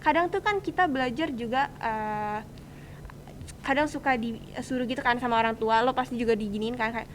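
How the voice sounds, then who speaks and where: A woman's voice: on the high side, moderate pace. A teenage young woman with a Jakarta accent hosting a casual student podcast, chatty and encouraging in colloquial speech. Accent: Jakarta Indonesian. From Indonesia. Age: teens, high school student. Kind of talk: casual chat, interviewing. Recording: faint background ambient noise.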